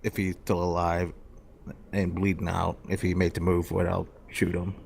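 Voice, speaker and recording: A man's voice: sits low, completely flat delivery, normal speed, gravelly, darkish tone, slight background noise. A man playing a role-playing game, casually stating his actions and hesitantly thinking aloud.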